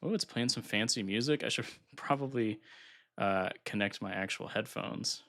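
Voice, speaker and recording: Nasal, gravelly low-voiced man streaming. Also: moderate pace. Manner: relaxed, thinking aloud while setting up software. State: recovering from illness, slightly congested. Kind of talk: casual live-stream chat.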